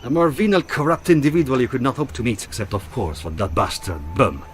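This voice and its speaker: An actor playing a bitter, sly wartime partisan informant in a video game cutscene. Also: male voice, medium pitch, sing-song, a little fast, a little hiss or hum, close to an everyday voice. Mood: contemptuous.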